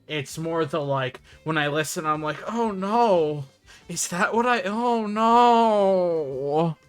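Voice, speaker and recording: A young man chatting casually on a game stream, relaxed and agreeable, dropping in short fillers. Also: high-pitched, slow pace, smooth, no room sound, soft background music. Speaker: streamer co-host.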